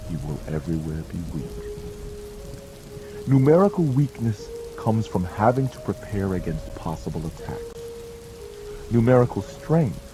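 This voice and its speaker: A man narrates an audiobook in a deep voice. His delivery is solemn and measured, declaiming each maxim as dramatized scripture-like instruction. Music plays clearly behind him, with the sound of rain.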